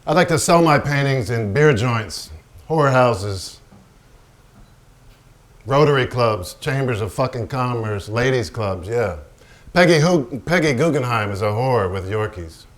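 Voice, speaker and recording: An actor playing a boozy, swaggering, profane genius painter in a theatrical staged reading, declaiming with crude, sardonic bite. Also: male voice, mid-range voice, lightly acted. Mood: crude, ranting.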